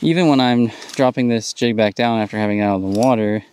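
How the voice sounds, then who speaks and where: A man in his thirties on a frozen lake outdoors, explaining fishing electronics in a relaxed, casual demonstration. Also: mid-range voice, quick pace, clean tone. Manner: explanatory, relaxed, upbeat when catching fish. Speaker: fishing channel host.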